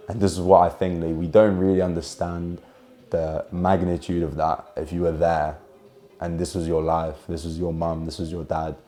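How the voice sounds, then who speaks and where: A man's voice: low-pitched, level tone, moderate pace, warm tone. A twenty-year-old man from Jordan and the UK gives earnest, heartfelt, reflective personal testimony. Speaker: documentary subject telling his family's story.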